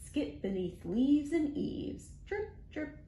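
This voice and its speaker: Expressive, high-pitched woman reading to children. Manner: animated, sing-song, deliberate phrasing with playful sound words. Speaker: children's librarian, storytime host. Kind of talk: expressive picture-book read-aloud for young children, with rhymes. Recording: roomy echo, faint background noise.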